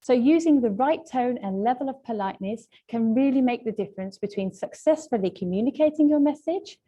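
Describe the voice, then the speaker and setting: Mellow-voiced woman presenting a webinar. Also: a little high. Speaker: webinar presenter, language trainer. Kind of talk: webinar lesson, semi-scripted presentation.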